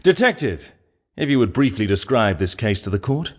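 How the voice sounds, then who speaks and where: A man's voice: fairly low pitch, big pitch swings, moderate pace. A man playing a formal, self-assured prosecutor in a video game fan dub. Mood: confident, stern.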